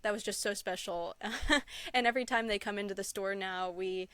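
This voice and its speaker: A woman speaks as a guest in a casual interview, with a smooth, clear voice. She tells her stories with earnest enthusiasm, and the sound is close and dry. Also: medium pitch, normal speed, bright, forceful tone, no echo. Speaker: podcast guest, Pokémon content creator; store employee. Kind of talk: casual interview chat, anecdotes.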